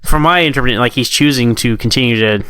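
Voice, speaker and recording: A man co-hosting a casual review discussion, informal and opinionated, talking in an animated way. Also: mid-range voice, quick pace, clear, resonant tone, no echo. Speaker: podcast co-host.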